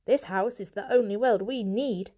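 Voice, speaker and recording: A woman's voice: medium pitch, normal speed, warm tone, close to an everyday voice. A woman voicing a plump, haughty aunt cat who speaks with dismissive self-assurance in a storybook. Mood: smugly confident. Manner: confident, dismissive.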